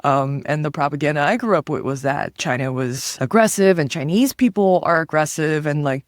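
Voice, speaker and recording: A woman from Taiwan, a comedian and guest on an interview, telling stories in an animated, chatty way and acting out the voices she quotes. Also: low-pitched, normal speed, no room sound, studio quality. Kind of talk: casual interview storytelling.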